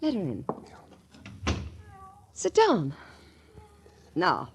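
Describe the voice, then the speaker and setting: A woman's voice: fairly low pitch, huge pitch swings, very slow, heavily acted. Lilting, genteel radio drama lady. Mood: solicitous, polite. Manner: polite, solicitous. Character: genteel, solicitous young New Orleans woman. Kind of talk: 1940s radio acting. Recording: noisy 1940s radio recording with clicks.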